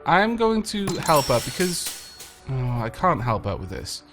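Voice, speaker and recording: A man's voice: mid-range voice, sing-song, unhurried. A man with a slight cold, chatting playfully to himself and the game's tenants as he plays. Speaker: let's play host. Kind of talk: casual gameplay commentary. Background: game music.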